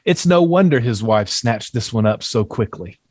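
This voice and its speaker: A man's voice: medium pitch, lively intonation, moderate pace, a little mellow, lightly acted. A man acting a genial, eccentric, well-to-do father in a period comedy read, chatty and genteel.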